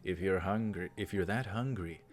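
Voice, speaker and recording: Man reading as a pompous, low-voiced young guy. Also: normal speed, faint music behind, close to an everyday voice. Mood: teasing. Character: pompous, teasing young man from a visual novel.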